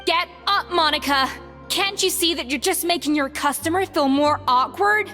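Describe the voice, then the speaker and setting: A woman's voice: very high voice, normal speed, bright, forceful tone. A woman voicing a proud, exacting young head chef in a video game, speaking in a precise, commanding and theatrical way. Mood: stern scolding. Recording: long reverb with music behind.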